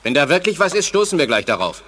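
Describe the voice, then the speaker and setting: An excitable teleporter in a science fiction radio play. His fairly high voice is brisk and lively, and loud noise runs behind it. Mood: excited. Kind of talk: German audio drama acting. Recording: loud rumbling background noise.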